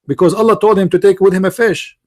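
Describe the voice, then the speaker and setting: A man's voice: high voice, steady tone, fast, darkish tone. A man with an Arabic accent, hosting a heated debate, insistent and mocking, repeating himself to press his point. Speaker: host.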